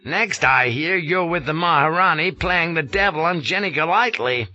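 A man voicing a gruff, irascible colonel with a rasping, indignant bark. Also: a little high, normal speed, bright, forceful tone, lo-fi sound, voice pushed up. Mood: angry, scolding. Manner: barking, indignant, blustering. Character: gruff irascible American colonel, grizzled veteran.

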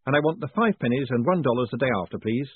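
Breezy confident swindler voiced by a man, firm and quick-witted, tinny phone-like sound. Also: mid-range voice, animated, slightly rough, no echo. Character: brisk, cocky young male conman turned postmaster.

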